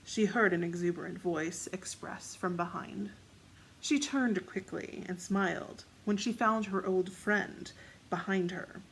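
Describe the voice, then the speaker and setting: Creaky-voiced woman narrating a story. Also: lowish voice, unhurried, a little hiss or hum, poor audio. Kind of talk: scripted fiction narration.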